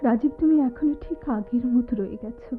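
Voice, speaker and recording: A woman's voice: on the high side, over music, natural voice. An actress playing an anguished, bitter young woman in an emotive, theatrical style of radio drama. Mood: bitter, tearful. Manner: emotive, dramatic, halting repetitions. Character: anguished, bitter young woman, disfigured, speaking to her former love. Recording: tinny, phone-like old radio drama recording, noisy.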